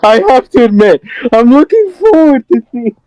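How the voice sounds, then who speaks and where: A man's voice: extremely high pitch, very animated, moderate pace, dark tone, no echo, poor audio. A man bantering casually with friends on a livestream, giggly, crude and teasing.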